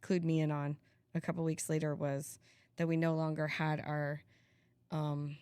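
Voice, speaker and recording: A woman with a lowish voice talks casually, as a podcast co-host would when telling a story. The sound is dry and close, with very little room around it. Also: little pitch movement, moderate pace, mellow, clear, resonant tone, dry recording.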